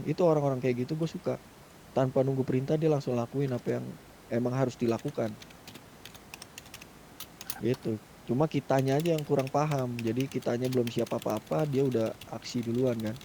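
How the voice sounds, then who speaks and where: Casting: male gamer, very dark soft tone, slangy explainer, faint noise on a chat mic. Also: mid-range voice, brisk, pauses often, rough recording. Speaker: gamer in a roleplay crew. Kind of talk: casual gaming group chat.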